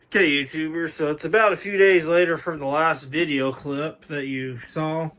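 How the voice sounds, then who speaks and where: A man with a fairly high-pitched voice gives a casual, informal walk-through in home-video style. He chats as a hobbyist showing off his setup. The sound is that of a handheld camera mic indoors. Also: steady tone, moderate pace, fairly bright tone. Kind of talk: casual handheld show-and-tell narration.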